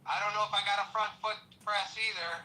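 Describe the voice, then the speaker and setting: Male voice, baffled cowboy chatbot: high, sharp, rambling drawl with endless questions. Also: fairly even intonation, fast talker, rough recording. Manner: drawling, calls everyone partner, rambling questions.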